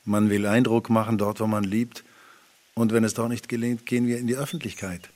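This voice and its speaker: An actor playing a tactful family friend who gives gentle, calm advice in radio drama dialogue, with a husky voice. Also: male voice, on the low side, a little fast, natural voice. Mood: gently advising.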